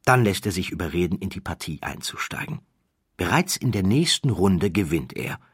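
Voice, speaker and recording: A man narrating an audiobook as expressive, scripted storytelling. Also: deep voice, normal speed, slightly rough, no echo, clean studio sound.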